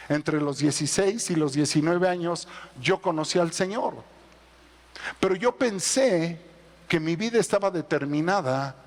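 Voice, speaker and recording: High-voiced preacher in a reverberant hall. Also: male voice. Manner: preaching, swelling to loud emphatic peaks. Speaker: pastor. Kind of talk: sermon. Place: church.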